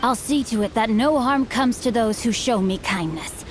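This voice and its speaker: A woman's voice: a little high, moderate pace, a little hiss or hum, close to an everyday voice. A woman voicing a bookish, chivalrous teenage boy swordsman in a video game, speaking in a formal, eloquent, earnest way. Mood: composed. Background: game music.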